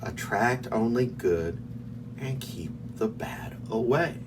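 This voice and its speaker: A man voicing a plucky young storybook girl in a dramatic, hushed manner. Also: medium pitch, expressive, slow pace. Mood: nervous, hushed. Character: plucky young girl heroine narrating her bayou adventure. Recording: distant mic with clear background noise.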